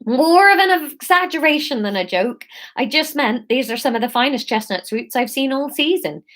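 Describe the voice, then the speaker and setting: A woman's voice: sits high, expressive, normal speed, a bit bright, voice pushed up. A woman voicing a friendly female market seller with a put-on Somerset accent and homely sales patter. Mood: cheery selling.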